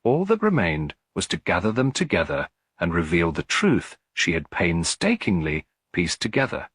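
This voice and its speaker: A man narrating a classic detective story in a measured, dramatic manner. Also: low voice, huge pitch swings, fairly bright tone, breathy edge, no room sound, clean studio sound. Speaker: audiobook narrator.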